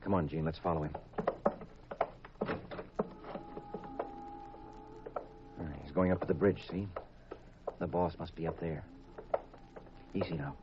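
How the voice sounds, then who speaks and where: An actor playing a cool, wry sleuth with a confident, tough-talking manner in a 1950s radio drama. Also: male voice, a bass voice, background noise. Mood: hushed, confident. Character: cool, wry male amateur sleuth, husband. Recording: dull vintage radio recording.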